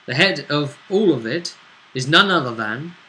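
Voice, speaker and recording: A man's voice: on the high side, expressive, normal speed, sharp tone, low-quality recording. A man acting as game master, recapping a tabletop role-playing session in an explanatory, conversational way with frequent tag questions. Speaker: game master and show host.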